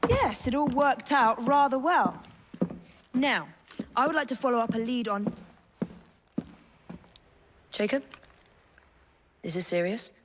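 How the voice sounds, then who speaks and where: An actress playing a composed, purposeful young Victorian heroine in a video game. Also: female voice, fairly high pitch, animated, slow, long pauses, noisy background. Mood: businesslike. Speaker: game character actor. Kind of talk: video game cutscene acting.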